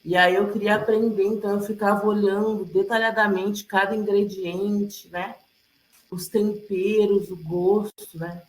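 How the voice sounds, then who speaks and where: Slow-paced woman, echoey room. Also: mid-range voice, level tone. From Rio Grande do Sul, Brazil. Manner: halting, often checks in with listeners. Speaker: community elder and presenter at a cultural gathering. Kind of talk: casual oral storytelling. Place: online video call, roomy indoor space. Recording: roomy echo on a video call.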